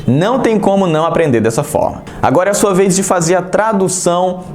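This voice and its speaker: A man's voice: high voice, a little fast, a little mellow. Encouraging man teaching in an echoey room. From Brazil. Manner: encouraging, direct address to viewer. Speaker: sign language teacher. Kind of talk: online lesson to camera.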